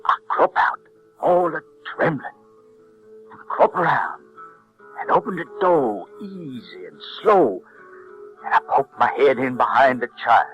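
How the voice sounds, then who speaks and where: A runaway slave dad from the south, slow emotional storytelling, voice swinging high and low, audio drama. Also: male voice, very animated, lo-fi sound. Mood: agitated, regretful. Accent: American South. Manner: halting, emotional storytelling in folksy dialect. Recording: 1970s audio drama with music.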